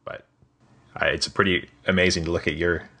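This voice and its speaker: A man with a very low, bright-edged voice hosts a relaxed podcast chat. He talks haltingly, restarting his thoughts and laughing lightly at himself as he goes. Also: a little hiss or hum. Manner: hesitant, with many restarts and small laughs. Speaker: podcast host. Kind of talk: casual interview conversation.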